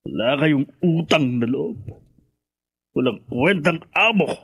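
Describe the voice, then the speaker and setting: A man's voice: on the high side, animated, slow pace, fairly bright tone, husky, full character voice. A man playing a meek but resentful longtime horse tamer on a hacienda, with a Filipino accent, in a radio drama. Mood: drunk, bitter.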